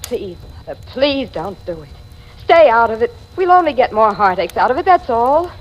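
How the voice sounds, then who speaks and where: An actress in 1940s radio style playing a troubled, fearful young stepdaughter with a melodramatic, pleading delivery. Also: female voice, on the high side, big pitch swings, moderate pace. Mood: pleading, afraid. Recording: dull, faintly noisy vintage radio.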